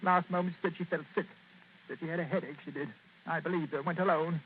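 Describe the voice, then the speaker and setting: A man's voice: on the high side, fairly even intonation, fast, slight background noise, natural voice. A man doing a fretful, miserly old husband. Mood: bitter. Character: miserly bitter old male retired colourman. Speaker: client Mister Amberley. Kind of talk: 1940s radio acting. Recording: tinny old radio broadcast.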